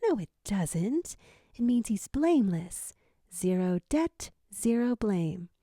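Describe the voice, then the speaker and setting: A woman's voice: mid-range voice, sing-song, slow, dark tone, no room sound, studio quality. A woman playing a doting, proud jackal mother in a story, cooing tenderly.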